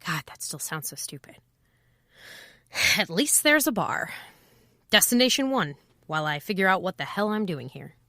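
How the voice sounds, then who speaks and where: A wry, world-weary woman records her travel journal. Her voice sits a little low with a bright, forward edge, and she reflects in short fragments. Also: animated, normal speed, long pauses, no room sound. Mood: self-mocking, tired. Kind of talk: first-person audio drama narration.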